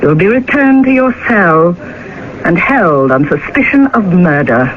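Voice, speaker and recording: Stern formal official in old radio drama, high voice, noisy recording. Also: male voice, big pitch swings, a little slow, narrow, tinny audio. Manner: formal, pronouncing. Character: stern official pronouncing against a murder suspect.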